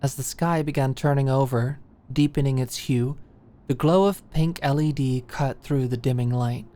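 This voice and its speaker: A woman's voice: rumbling low, fairly even intonation, moderate pace, mellow. A woman reading scripted romance fiction as an audiobook narrator in an ASMR style.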